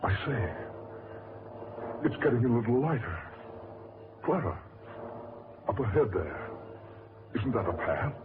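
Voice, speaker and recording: A man's voice: mid-range voice, sing-song, a little slow, long pauses. An actor playing an urgent, commanding man leading the way through a haunted forest in dramatic 1940s radio horror style. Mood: firm, urging. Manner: dramatic, exhortative. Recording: scratchy, muffled 1940s radio recording.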